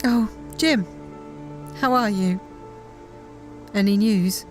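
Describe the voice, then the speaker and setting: A woman playing an anguished, fragile middle-aged mother in an audio drama, halting and emotional. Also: mid-range voice, soft background music, close to an everyday voice. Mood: fragile, defensive.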